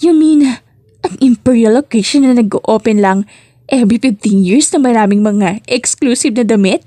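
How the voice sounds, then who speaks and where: A woman voicing an excitable, defensive young working woman in a romance audiobook. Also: a little high, moderate pace, no echo, studio quality, close to an everyday voice. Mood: excited. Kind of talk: audiobook character dialogue.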